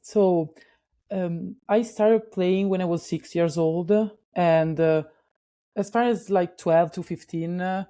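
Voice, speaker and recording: A woman's voice: fairly low pitch, fairly even intonation, slow, warm tone. A young woman from Italy, a college volleyball player answering with frequent hesitations. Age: young adult. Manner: hesitant, many "uh" fillers.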